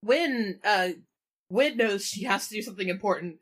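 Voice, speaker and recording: A woman's voice: medium pitch, moderate pace, a little hiss or hum. A woman playing a tabletop roleplaying game, casually describing what her character does.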